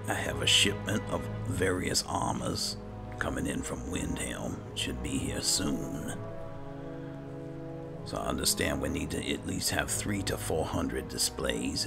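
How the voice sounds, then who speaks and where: A man narrates a game roleplay in a very deep voice thick with vocal fry. His delivery is casual and unhurried, in character throughout. Clear game music plays behind him. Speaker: gamer roleplaying a character in a playthrough. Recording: background music under the voice.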